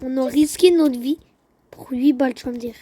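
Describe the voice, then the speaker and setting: A woman giving casual, excitable gaming commentary, full of slang and repeated phrases. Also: high-pitched, moderate pace, slight background noise. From Quebec, Canada. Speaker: gaming video host.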